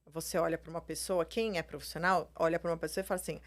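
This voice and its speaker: A woman's voice: sits low, fairly even intonation, racing. A Brazilian Portuguese-speaking woman, a plastic surgeon, explaining her views in a casual interview, full of fillers and tag questions. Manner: explanatory, frequent filler words, tags questions with "né" and "entendeu".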